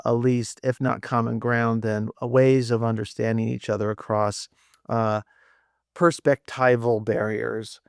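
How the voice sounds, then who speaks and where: A man's voice: medium pitch, gravelly, clear, resonant tone, dry recording, clean studio sound. A man in his sixties hosting a casual podcast interview, friendly and rambling, with plenty of fillers and restarts. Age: 60s. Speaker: podcast host.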